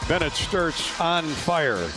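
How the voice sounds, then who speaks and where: A man's voice: fairly high pitch, lively intonation, slow pace, music in the background, poor audio. A man doing live radio play-by-play of a basketball game in a folksy, colorful way. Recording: loud arena noise behind courtside radio call. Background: ball bounces and gym ambience.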